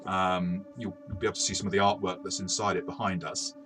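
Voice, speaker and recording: A man's voice: low voice, flat delivery, fairly quick, music in the background. A man co-hosting a casual role-playing game review, talking in a hesitant way with many false starts.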